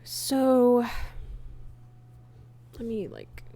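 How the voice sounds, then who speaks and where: A woman chatting casually on a livestream as she works, halting and half talking to herself in an absent-minded way. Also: a little high, steady tone, dark tone. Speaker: livestreamer and comic dub creator. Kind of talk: casual livestream chat while working. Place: indoors at a computer, streaming.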